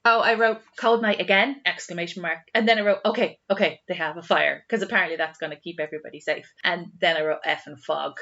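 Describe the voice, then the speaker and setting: A woman with an Irish accent chatting casually as a podcast co-host, expressive and repeating words for emphasis. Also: on the low side, fast, few pauses. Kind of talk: casual chat. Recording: slightly dull sound.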